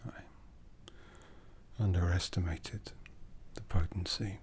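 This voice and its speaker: A man playing an aloof, commanding aristocratic vampire in an ASMR roleplay, half-whispering slowly and deliberately with a breathy, seductive delivery. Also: deep voice, steady tone. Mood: intimate, menacing. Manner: slow, deliberate, measured.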